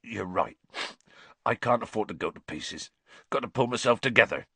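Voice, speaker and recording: A man voicing a huge, soft-hearted, distraught gamekeeper in an audiobook, with choked, tearful, rustic and ungrammatical speech. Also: lowish voice, expressive, moderate pace, husky, dry recording, full character voice. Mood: gloomy, shaken.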